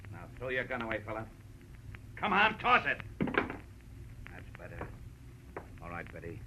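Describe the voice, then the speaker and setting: An actor playing a cold, threatening gangster and blackmailer in a 1940s radio crime drama, sneering and bullying in tough slang. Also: male voice, low voice, a little slow. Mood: gloating menace. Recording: noisy old radio recording.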